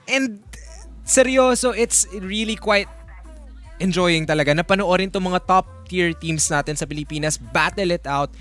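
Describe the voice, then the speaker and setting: A woman's voice: fairly low pitch, normal speed. A woman with a Filipino accent co-hosting a live esports panel, enthusiastic and emphatic, switching between two languages. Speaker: esports broadcast co-host. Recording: music behind the voice.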